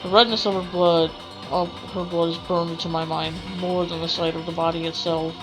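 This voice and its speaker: Low, even-toned woman reading aloud. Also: brisk, poor audio. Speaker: gamer doing a let's play. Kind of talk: reading visual novel narration aloud with casual asides. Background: game music.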